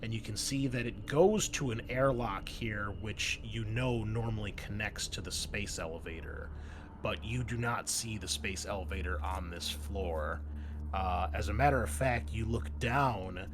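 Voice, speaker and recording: A man with a fairly low voice acts as game master. He improvises descriptions conversationally, pausing and restarting as he goes, with noise in the background. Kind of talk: improvised tabletop game narration.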